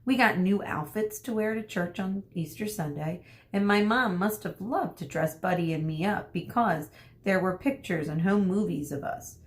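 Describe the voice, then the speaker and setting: A woman speaking as an elementary school teacher, reading a story aloud to children and pausing to explain things. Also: mid-range voice. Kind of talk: read-aloud lesson for children.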